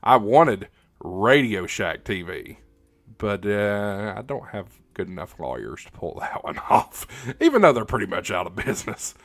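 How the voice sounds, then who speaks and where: A man's voice: on the low side, sing-song, measured pace, dry recording. A man hosting a casual livestream, reading out viewer comments and answering in a conversational, rambling way as he thinks aloud. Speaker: livestream host.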